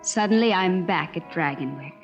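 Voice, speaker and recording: An actress playing a naive, excitable young farm girl in a 1940s radio drama, earnest and breathless. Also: fairly low pitch, normal speed, close to an everyday voice. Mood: wistful, wondering. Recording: muffled 1940s radio recording, music behind.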